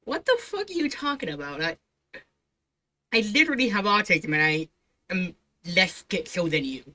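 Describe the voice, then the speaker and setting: Woman streamer doing reactions, bright slightly low voice, giggly n sarcastic, swears. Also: very animated, moderate pace. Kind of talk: casual reaction commentary.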